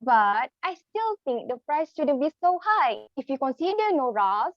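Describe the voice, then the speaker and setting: Lady acting nice humble mom, high voice, polite and pleading, dry slightly muffled audio. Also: very animated, a little slow, no room sound. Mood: conciliatory. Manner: polite, earnest, conciliatory. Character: nice, humble mother pleading for her lovestruck son. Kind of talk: dramatised reading.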